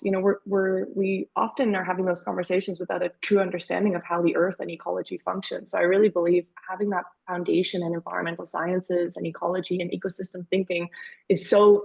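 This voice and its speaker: Gravelly, husky woman telling her story. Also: fairly low pitch, rough recording. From Canada. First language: Dutch. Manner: warm storytelling, frequent fillers. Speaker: guest author. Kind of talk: webinar fireside chat.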